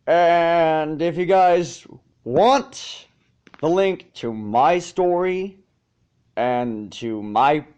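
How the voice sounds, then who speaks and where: A man's voice: a little high, very slow, darkish tone, smooth, lo-fi sound. A man narrating fan fiction as an amateur reader and channel host, now and then tripping over words and correcting himself. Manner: stumbles over lines and self-corrects. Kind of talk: amateur fan fiction reading with casual asides.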